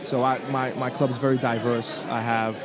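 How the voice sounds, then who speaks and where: Man talking over a loud bar crowd. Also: mid-range voice, normal speed. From Trinidad and Tobago. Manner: relaxed, many "um" fillers, chuckles. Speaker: youth soccer club director. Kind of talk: conversational interview answers. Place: busy bar at a conference. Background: loud crowd chatter.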